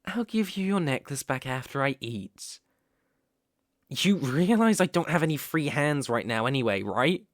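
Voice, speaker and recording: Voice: mid-range voice, animated, moderate pace, no room sound, natural voice. Sardonic vampire noble, close and dry performed by an actor. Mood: exasperated. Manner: teasing, sardonic, foul-mouthed, with sighs and chuckles. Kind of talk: ASMR roleplay, one-sided character dialogue.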